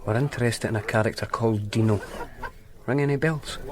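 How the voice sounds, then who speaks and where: A man's voice: medium pitch, brisk, a crowd in the background, natural voice. A man playing a terse detective. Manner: firm, pressing, terse. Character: hard-nosed male police detective. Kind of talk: radio drama acting.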